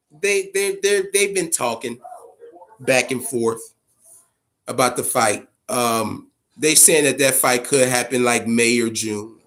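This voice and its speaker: A man's voice: mid-range voice, fairly bright tone. A man with an American accent hosting a casual boxing chat show, giving opinions in profane, slangy language and repeating phrases for emphasis.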